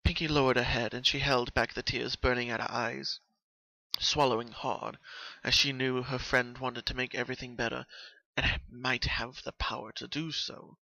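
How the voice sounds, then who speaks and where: Guy reading story narration dramatically, bright forceful voice, really dry recording. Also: mid-range voice, level tone, moderate pace, vocal fry, dry recording, rough recording.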